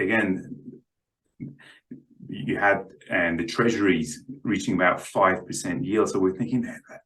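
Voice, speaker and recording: A man's voice: low voice, fairly bright tone, poor audio. A man speaking as a guest analyst in unscripted market commentary, hesitant and often repeating his words.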